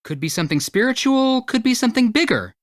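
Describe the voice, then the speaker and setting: An eager, earnest amateur ghost hunter in a mystery game. The delivery swings expressively with hopeful pride, and the recording is close and dry. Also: female voice, on the high side, big pitch swings, fast talker, dry recording. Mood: excitable, intrigued. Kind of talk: video game voice acting.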